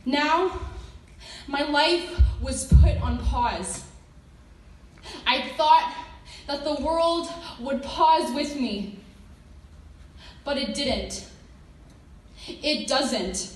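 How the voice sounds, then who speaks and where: A woman reciting rhyming slam poetry with dramatic pauses and an emotionally intense delivery. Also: high-pitched, distant mic. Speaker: slam poet. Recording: echoey room from afar, noisy.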